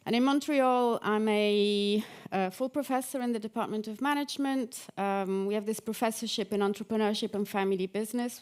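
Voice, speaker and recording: A woman's voice: medium pitch, darkish tone, smooth. A middle-aged woman who grew up in Italy and the UK, a professor giving a conversational conference talk with frequent fillers and restarts. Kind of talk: academic conference presentation.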